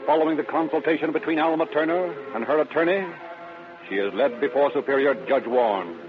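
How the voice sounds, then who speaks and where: An actor as a solemn, dramatic crime-story narrator, reading formal script in old radio style. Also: male voice, fairly high pitch, brisk, natural voice. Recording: tinny old radio recording. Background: music.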